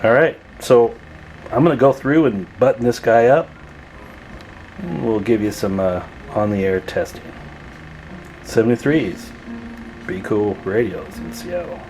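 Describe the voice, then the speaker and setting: A man's voice: on the low side, animated, a little slow, pauses often, background noise. A man talking in a folksy, chatty way as he gives a casual hands-on repair demonstration at an indoor workbench. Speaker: radio repair technician and video host.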